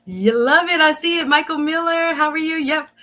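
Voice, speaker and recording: Bright-voiced enthusiastic woman host. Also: high voice, normal speed. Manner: enthusiastic, chatty, addresses viewers directly. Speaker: show host. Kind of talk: upbeat interview hosting.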